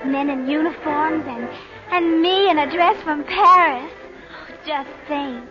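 Starry-eyed teenage girl, very high voice, gushing, old radio drama, dull recording, music behind. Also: unhurried. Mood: excited, gushing. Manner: gushing, breathless enthusiasm. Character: excitable, starry-eyed teenage daughter at a party.

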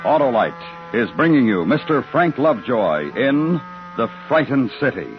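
A man's voice: mid-range voice, sing-song, a little slow. A male announcer introducing a 1950s radio drama in a formal scripted style. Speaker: programme announcer. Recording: dull 1950s radio recording, loud music.

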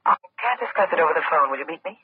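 A woman's voice: sits low, animated, racing. A woman playing a secretive, urgent mystery caller in an old radio drama, heard through a telephone filter. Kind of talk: 1940s radio acting. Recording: thin phone line on old radio, noisy.

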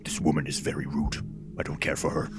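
A man playing a hulking, gruff, indignant alien symbiote with a deep, gravelly voice in a table read. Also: completely flat delivery, fast, music in the background. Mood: offended. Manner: blunt, ominous.

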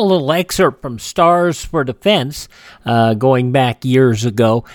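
A man hosting a classic radio show in a relaxed, rambling chat. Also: on the high side, dry recording, clean studio sound. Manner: relaxed, rambling, with many fillers. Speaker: radio host. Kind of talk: casual chat.

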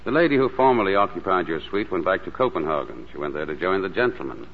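An actor playing a reassuring, gently teasing male sanatorium doctor in 1940s radio drama style. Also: lively intonation, fast talker, big-room sound, phone-quality sound. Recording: thin, boomy 1940s radio broadcast, faint music.

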